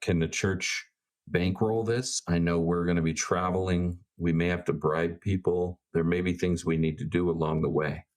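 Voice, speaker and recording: Male tabletop player, low-pitched voice, casual improvised dialogue in his own voice. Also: steady tone, normal speed, a little mellow.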